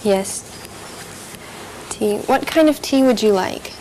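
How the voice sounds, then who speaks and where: A woman reading a server's part in a scripted practice dialogue, polite and clearly spoken. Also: on the high side, takes it slowly, darkish tone, a little hiss or hum, low-quality recording. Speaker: dialogue reader playing a server.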